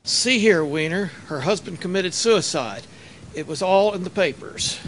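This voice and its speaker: A man playing a pompous, slow-witted, indignant bakery boss in a comic radio play. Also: sits high, animated, a bit bright, a little hiss or hum, low-quality recording. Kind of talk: comic old-time radio play acting.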